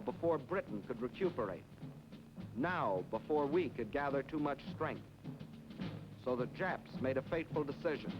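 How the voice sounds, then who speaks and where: An American man narrating a wartime propaganda film in a grave, emphatic, declamatory 1940s newsreel style. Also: fairly high pitch. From United States. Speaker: documentary narrator. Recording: dull 1940s film soundtrack. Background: orchestral music.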